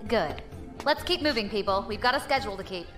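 An actress playing a confident, breezy pirate leader in a science fiction audio drama, wry and brisk. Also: female voice, medium pitch, expressive, fairly bright tone, close to an everyday voice. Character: cocky, breezy female space pirate leader. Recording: music behind the voice.

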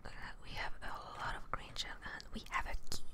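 A woman whispering ASMR gameplay commentary close to the mic in murmured asides, often repeating words. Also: a little fast. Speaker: gaming streamer. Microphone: close microphone in front of her, headphones on.

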